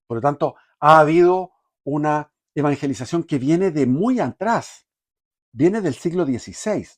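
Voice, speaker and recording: A man gives an unscripted history lecture with a mid-range voice. He speaks haltingly, breaking off words and restarting phrases, and leans on fillers between thoughts. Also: mid-range voice, darkish tone. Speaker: history commentator.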